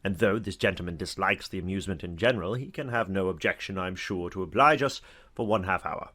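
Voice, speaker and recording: An actor playing a genial, talkative older knight in a dramatized audiobook, speaking in an effusive, courtly way. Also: male voice, deep voice, quick pace, pushed and bright. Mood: gallant, coaxing.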